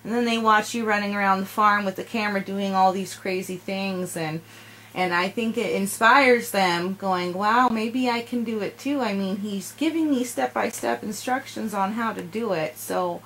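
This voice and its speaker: A woman's voice: mid-range voice, normal speed, a bit bright, a little hiss or hum, poor audio. A young woman student interviewing a channel host, summarizing his answers back and asking follow-up questions in an encouraging way, in a home library. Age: young adult. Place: home library with bookshelves and fireplace.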